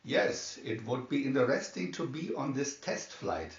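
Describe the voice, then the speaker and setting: A man voicing a calm, fatherly middle-aged man in an adventure storybook, speaking in a measured, reasonable way. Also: medium pitch. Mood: calm, interested. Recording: roomy, distant, slightly dull home recording.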